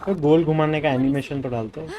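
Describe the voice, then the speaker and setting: A man's voice: on the high side, steady tone, rapid-fire, dull audio, background noise. A man giving casual live commentary as a game streamer. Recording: game music and sound behind.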